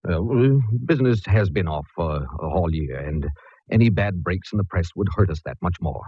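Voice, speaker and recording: An actor playing a nervous, stuffy store executive, hesitant and formal, in 1940s radio drama style on a vintage recording. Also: male voice, low-pitched, lively intonation, normal speed, husky, dry recording, lo-fi sound. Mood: worried, guarded.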